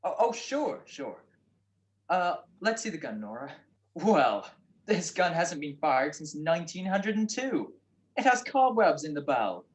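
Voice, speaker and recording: A man's voice: a little high, big pitch swings, a little slow. A man playing a shrewd, wry married private detective in a 1940s-style radio drama. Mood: wry, accusing. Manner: wry, teasing.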